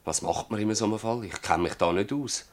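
An actor playing a troubled, stubborn older village farmer with a Swiss German accent, blunt and plaintive, in a radio drama. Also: male voice, fairly low pitch, fairly quick, lightly acted. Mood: distressed, pleading. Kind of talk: radio drama acting in dialect.

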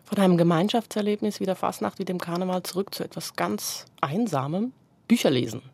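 A woman's voice: fairly low pitch, a little fast, gravelly, darkish tone, breathy edge, dry recording. A woman from Basel in Switzerland hosting a conversational radio interview as the interviewer.

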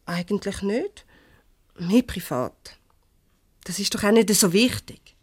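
A woman playing a warm-hearted, defensive divorcee in a Swiss German radio comedy, conversational and a little hesitant. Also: medium pitch, lively intonation, slow, breathy edge, no room sound, clean studio sound. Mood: defensive, explaining. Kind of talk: naturalistic 1970s radio comedy acting.

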